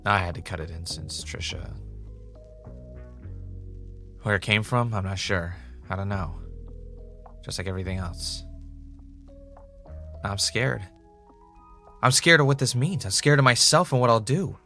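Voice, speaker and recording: A man plays an anxious, paranoid podcast host in an audio drama. His voice sits on the low side, halting and self-questioning, with a faint music bed behind it. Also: sharp tone. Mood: anxious, confessional. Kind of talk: confessional audio drama monologue.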